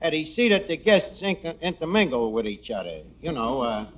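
An actor with a New York accent playing a brash, wisecracking tavern manager who mangles big words in mock-refined style, in 1940s radio comedy. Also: male voice, on the high side, big pitch swings, normal speed, a bit bright, dull audio. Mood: bossy. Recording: noisy vintage radio broadcast, studio audience.

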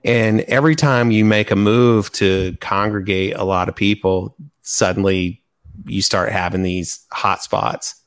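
This is a man with a fairly low, gravelly voice full of vocal fry. He co-hosts a podcast and shares his takes in casual fan chat. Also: steady tone, unhurried. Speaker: podcast co-host.

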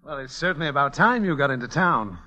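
An actor in 1940s radio style playing a brisk, exasperated but teasing book publisher. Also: male voice, a little high, expressive, bright, forceful tone, lo-fi sound.

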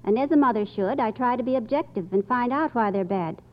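A woman's voice: fairly high pitch, brisk. A woman, an amateur contestant answering and reading aloud on a radio quiz show. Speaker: contestant, mother of quiz kids. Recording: dull old radio broadcast recording.

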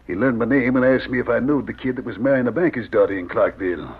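Very husky weary western drifter. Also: male voice, mid-range voice, quick pace. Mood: guarded. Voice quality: husky, gravelly. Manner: folksy drawling frontier speech, hesitant. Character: weary, wary Old West drifter, middle-aged father. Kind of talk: 1940s radio western acting. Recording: muffled old radio recording.

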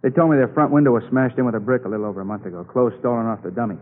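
A man's voice: medium pitch, natural voice. Man acting chatty needling cop, old radio drama, draws out his questions, muffled narrow sound. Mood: needling, grim. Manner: insinuating, drawn-out, repeating questions. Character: talkative, needling, tired male police colleague.

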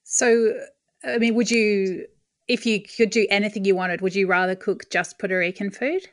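A woman's voice: medium pitch, steady tone, moderate pace. A woman interviewer asking questions in a curious, conversational way.

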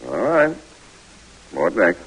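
An actor playing a calm, steady army lieutenant who also narrates, speaking briskly and plainly in 1940s radio drama. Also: male voice, low voice, slightly rough, full character voice, low-quality recording.

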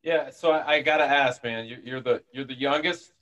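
A man's voice: mid-range voice, normal speed, fairly bright tone, lo-fi sound. Upbeat, chummy male interview host. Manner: upbeat, chummy questioning with fillers. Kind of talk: casual interview.

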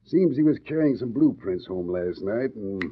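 An actor playing a hearty, blunt police inspector with slangy talk in a 1940s radio drama. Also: male voice, fairly low pitch, expressive, normal speed, slight background noise, close to an everyday voice. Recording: tinny old radio recording.

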